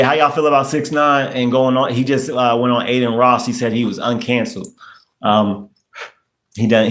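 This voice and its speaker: A man in his late thirties from the US, hosting a casual livestream, chatting loosely, repeating himself and using street slang. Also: medium pitch. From United States. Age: 30s. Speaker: podcast host. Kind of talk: casual livestream chat reading comments.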